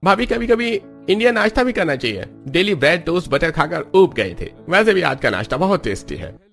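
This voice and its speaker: A man's voice: a little high, sing-song, a little fast, vocal fry, fairly bright tone, soft background music, voice pushed up, exaggerated voice. A man voicing a cheerful, easygoing young husband and devoted son in a cartoon. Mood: reassuring.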